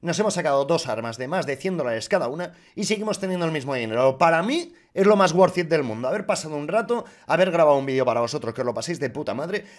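Excitable higher-pitched Spanish man, lively streamer. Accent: Castilian Spanish. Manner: foul-mouthed, excitable. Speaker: YouTuber, gaming streamer. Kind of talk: casual gaming commentary to viewers. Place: small room with acoustic foam panels. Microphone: boom-arm desk mic close up.

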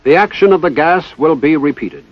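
A man giving formal, precise, scripted narration in the style of a 1960s military instructional film, with measured enunciation. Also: a little high, expressive, no echo. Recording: dull 1960s training film soundtrack.

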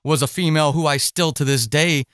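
A man with a slightly high voice, recorded close and dry. He hosts a casual podcast interview and speaks haltingly, reworking his questions as he talks. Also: a bit bright, dry recording. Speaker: podcast host interviewing a writer.

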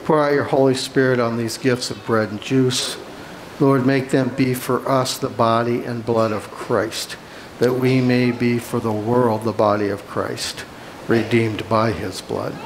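A man leads a communion prayer at a steady, middle pitch. He reads in a measured, solemn way, with a faint hiss behind him. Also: low-quality recording. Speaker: pastor. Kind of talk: reading a communion liturgy. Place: church sanctuary.

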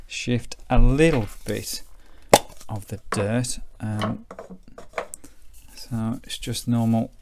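A middle-aged British man giving a casual, unhurried hands-on commentary as he demonstrates something, thinking aloud as he goes. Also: medium pitch, steady tone, creaky. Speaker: presenter demonstrating a vintage radio. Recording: faint background noise, clicks of handling.

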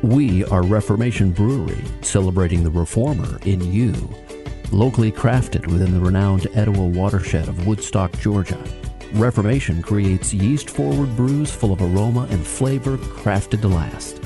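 A man's voice: lowish voice, moderate pace, over music. A man reading a scripted radio advert as a voice-over announcer.

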